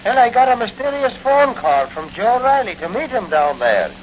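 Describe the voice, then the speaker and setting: An actor playing a blustery, excitable, rough-spoken city policeman in a 1940s radio drama. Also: very high voice, moderate pace. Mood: matter-of-fact. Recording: dull, noisy old radio recording.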